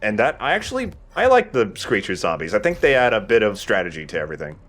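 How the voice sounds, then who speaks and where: A man's voice: on the low side, big pitch swings, quick pace, fairly bright tone. A man giving casual let's play commentary, explaining things and correcting himself as he goes.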